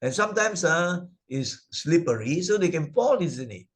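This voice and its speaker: A man's voice: on the high side, very animated, moderate pace. Malaysian-accented man teaching children. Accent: Malaysian English. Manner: lots of "uh" and tag questions like "right?" and "isn't it?". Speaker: Buddhist Sunday school teacher. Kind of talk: explaining moral stories to children. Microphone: video call.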